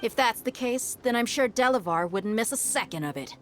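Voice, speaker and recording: A woman's voice: a little high, lively intonation, brisk, lightly acted. A woman playing a tough, confident, guarded young mercenary in a video game, with a sardonic manner. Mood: tense confrontation.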